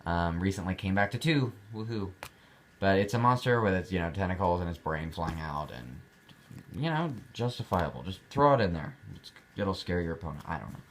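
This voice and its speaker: Young adult man, deep low voice, easygoing hobbyist vlog chat, rambling delivery. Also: expressive, brisk, slight background noise. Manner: chatty, rambling, with many fillers and restarts. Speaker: card collector showing his cards.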